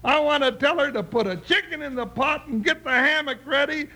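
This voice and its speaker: An American actor playing a whiny, put-upon cowboy deputy sidekick in an old Western radio show, with a gravelly, folksy, complaining delivery. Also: male voice, brisk, bright, forceful tone. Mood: excited. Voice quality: gravelly, creaky high voice. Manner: complaining, folksy drawling grumble. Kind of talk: 1950s Western radio acting. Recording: noisy old radio recording.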